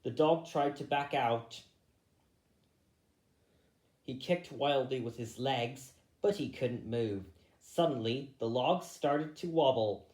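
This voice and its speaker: A man reading a children's story aloud, a little halting, going back over misread phrases. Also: mid-range voice, a little mellow, reverberant. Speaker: storybook reader.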